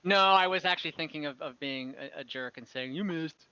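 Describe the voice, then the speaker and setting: A man acting as game master, refereeing an online tabletop game in casual chat and often thinking out loud. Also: a little high, fairly quick, smooth, no echo, muffled recording. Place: close, dry online call.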